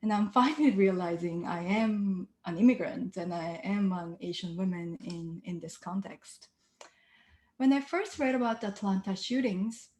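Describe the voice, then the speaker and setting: A woman from South Korea, a sociology professor, speaks as a panelist in an earnest, reflective way with soft hesitations. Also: mid-range voice. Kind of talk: panel remarks. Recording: roomy echo.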